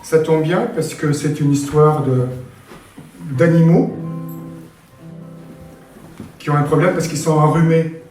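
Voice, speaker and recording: A man with a hoarse, damaged voice telling a rural folk tale at an open stage. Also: a little high, slow, pauses often. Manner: lively, animated storytelling. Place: live open-mic stage in a hall. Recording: very echoey hall from far off, faint guitar.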